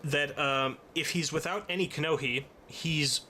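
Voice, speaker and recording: A man presenting a casual hobby how-to, talking in a halting, stop-start way with thoughtful pauses. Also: on the high side, fairly even intonation, pushed and bright. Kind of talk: casual tutorial narration. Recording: noticeable background noise.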